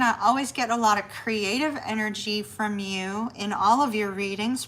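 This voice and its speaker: A middle-aged woman leads a live tarot reading in a chatty, reassuring way. Her voice sits a little high. It is wearing thin and hoarse as she keeps talking. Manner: chatty, warm reassuring delivery, says y'all. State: losing her voice. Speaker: tarot reader.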